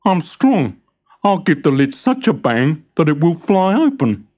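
A proud male toy hammer in a storybook, put on by a storyteller, bragging loudly about his strength in a higher, forceful voice. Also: very animated, measured pace. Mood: boastful. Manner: loud, bragging.

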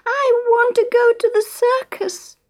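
A woman's voice: very high voice, little pitch movement, measured pace, pitched above the performer's own voice. A woman voicing a little girl mermaid who wails and weeps as she pleads. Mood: wailing. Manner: wailing, weepy.

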